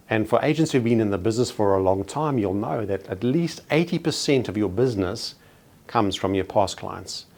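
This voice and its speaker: A man's voice: on the low side, a little mellow. A man with a South African accent giving a motivational sales training talk to real estate agents, stressing his points in short punchy contrasts. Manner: emphatic, punchy paired contrasts, slows on key words.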